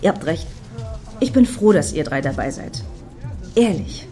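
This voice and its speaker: Lively, low-pitched, mocking woman. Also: unhurried, soft background music. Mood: chatty. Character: sharp-tongued, teasing ex-girlfriend in a satirical radio play. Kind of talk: German radio play dialogue.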